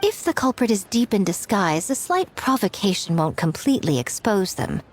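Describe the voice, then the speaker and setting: A woman playing a sly, poised shrine priestess in an anime game, speaking in a smooth, deliberate, theatrical way. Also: medium pitch, big pitch swings. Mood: sly, calculating.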